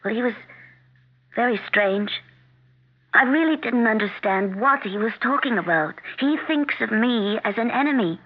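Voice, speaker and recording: A tinny, narrow radio recording from the seventies carries a troubled woman. Her voice sits fairly high, polite yet uneasy and insistent, in classic radio drama style. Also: lively intonation, moderate pace. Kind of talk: 1970s radio drama acting.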